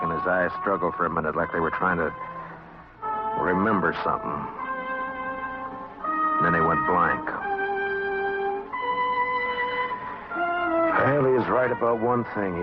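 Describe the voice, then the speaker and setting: An actor playing a tough, weary frontier marshal in a 1950s western radio drama, speaking in a terse, deadpan way. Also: male voice, low voice, natural voice. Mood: grim narrating. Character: tough, terse frontier lawman, adult man, steely and weary. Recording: dull old radio recording with music.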